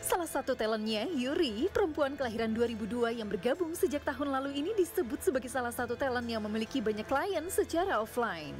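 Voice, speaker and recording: A woman reading a scripted TV profile voice-over in a measured, formal way. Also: fairly high pitch, animated, a little mellow, soft background music. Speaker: voice-over narrator.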